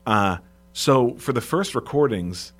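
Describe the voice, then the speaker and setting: A man with a booming, slightly froggy voice, co-hosting a casual podcast and eagerly sharing movie news. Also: lively intonation, slow. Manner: chatty, enthusiastic about film news. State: a little froggy. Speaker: podcast co-host and editor.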